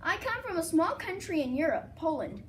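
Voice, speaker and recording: A woman's voice: very high-pitched, very animated, normal speed, recorded from a distance, a little hiss or hum. A young girl co-hosting a school news broadcast, reading in a sing-song way. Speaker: student co-anchor.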